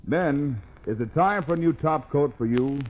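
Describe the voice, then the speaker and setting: A man's voice: fairly high pitch, expressive, normal speed. An American man reading a scripted 1950s radio commercial as an announcer. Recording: thin, phone-like 1950s radio recording.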